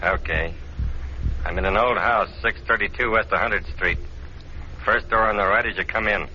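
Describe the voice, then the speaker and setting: A man's voice: on the low side, moderate pace, pushed and bright. A man playing a smug, menacing gangster with a tough, slangy, taunting delivery in a 1940s radio drama. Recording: dull, noisy 1940s radio recording.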